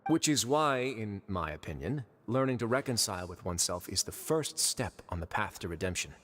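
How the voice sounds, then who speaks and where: An actor playing a composed, authoritative duke of a prison fortress in a video game, speaking in a measured, formal way. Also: male voice, on the low side, lively intonation, fairly bright tone, slight background noise. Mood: measured, reflective. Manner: measured, formal, dryly understated. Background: faint music.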